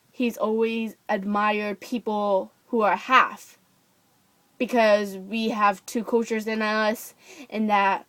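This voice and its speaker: A woman's voice: mid-range voice, no echo, poor audio. A young woman from Japan, chatting casually into a camera and ranting, full of fillers and restarts. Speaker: vlogger.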